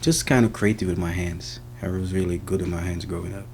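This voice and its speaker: A man's voice: low-pitched, fairly even intonation, brisk, darkish tone, breathy edge, faint music behind. A man with a Jamaican accent giving casual answers in an interview. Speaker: interviewee, senior car technician.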